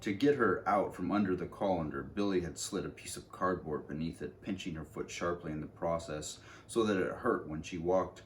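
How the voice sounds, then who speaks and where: A man's voice: low voice, normal speed, few pauses. A man reading a storybook aloud as narrator, informally, sometimes stumbling and rereading, with some background noise.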